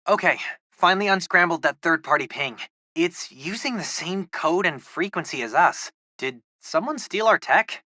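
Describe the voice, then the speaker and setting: A man with an American accent voicing a geeky, excitable teenage boy, a loyal superhero's tech sidekick in a video game, talking informally and eagerly. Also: a little high, dry recording, studio quality. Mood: focused, curious. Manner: informal, eager, nerdy tech talk with quips. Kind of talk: video game voice acting, casual radio-style chatter.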